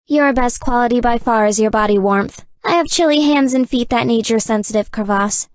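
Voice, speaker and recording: A woman voicing a dry, deadpan, nerdy young gamer girlfriend in a dating game. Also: on the high side, normal speed, no echo, natural voice. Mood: dry, awkwardly affectionate. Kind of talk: scripted dating game character dialogue.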